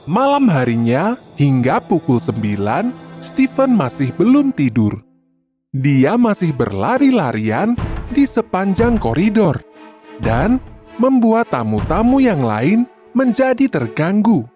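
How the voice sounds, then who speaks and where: A storyteller narrating a scripted children's tale in an expressive, deliberate manner. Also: mid-range voice, faint music behind.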